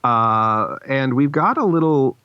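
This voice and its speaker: A man's voice: mid-range voice, lively intonation, slow, smooth, no echo. A man hosting a podcast in casual, wry, teasing chat. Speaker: podcast host. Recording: slightly dull, dry recording.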